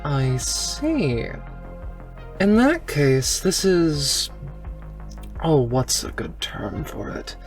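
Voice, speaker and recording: A woman's voice: low-pitched, big pitch swings, extremely slow, long pauses, faint music behind, full character voice. A player voicing a poised, intrigued cybernetic woman who speaks in a formal, wry manner in a tabletop game.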